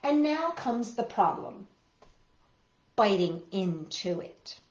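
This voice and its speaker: A woman's voice: mid-range voice, very animated, takes it slowly, roomy sound, lo-fi sound. A woman hosting a children's story time, reading aloud and chatting playfully and expressively straight to young listeners.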